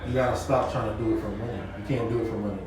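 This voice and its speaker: A man's voice: lowish voice, steady tone, racing. A man talking about his music production work in a casual interview, laid-back and repeating "you know what I'm saying.". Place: loud party venue. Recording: echoey, distant mic, crowd behind.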